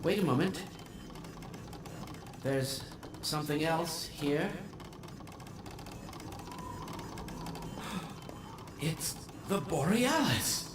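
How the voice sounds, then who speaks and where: Game scientist: formal, theatrical, swooping delivery, echoey and noisy. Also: male voice, a little high, animated, extremely slow, long pauses, recorded from a distance, over music. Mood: amazed.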